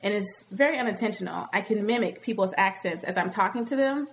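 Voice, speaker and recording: A woman with a blended American Southern accent talks casually and candidly. Her voice is medium in pitch, and she slips into acted-out dialogue as she goes. Faint noise sits behind her. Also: brisk. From Nashville, Tennessee, US.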